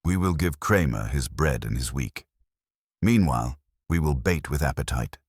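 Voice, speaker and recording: Man acting deep, imperious master detective. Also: expressive, a little mellow, slightly rough, no echo, clean studio sound, exaggerated voice. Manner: formal, pronouncing, deliberate.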